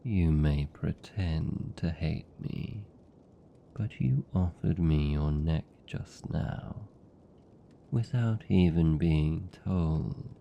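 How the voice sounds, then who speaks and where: A man with a British accent playing a seductive, possessive vampire captor in an intimate ASMR roleplay, hushed and deliberate, tender yet menacing. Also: lively intonation, muffled recording, slight background noise, full character voice. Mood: teasing, hungry. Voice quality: gravelly, dark, low. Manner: slow, hushed, deliberate, teasing.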